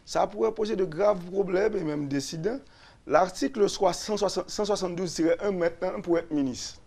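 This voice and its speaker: Gravelly man, measured explainer. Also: high voice, a little mellow. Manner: deliberate, repeats and restarts phrases while citing articles. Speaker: lawyer, studio guest. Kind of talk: explaining constitutional law on a radio talk show.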